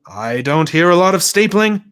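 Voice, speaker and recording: A man voicing an excitable, bossy middle-aged male teacher who barks orders at his class. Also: normal speed. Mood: impatient. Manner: shouting, bossy, high-pitched.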